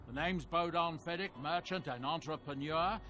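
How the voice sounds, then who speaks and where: A jovial, courteous dwarf merchant. His voice is fairly high for a man and his talk is folksy and polite. Music plays clearly in the background. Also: normal speed, bright, forceful tone. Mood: cheerful, courteous.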